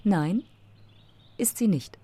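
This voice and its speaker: A woman playing a self-assured, provocative photographer in a German radio drama, with a husky voice and pointed, theatrical phrasing. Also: medium pitch, lively intonation, takes it slowly, mellow, no echo. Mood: knowing, provocative.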